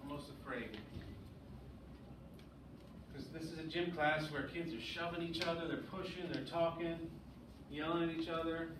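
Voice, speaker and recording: A man's voice: on the high side, steady tone, moderate pace, long pauses. A man teaching a grade school English class, often checking understanding with short questions. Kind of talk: classroom lesson with read-aloud. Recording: echoey, noisy room, distant mic.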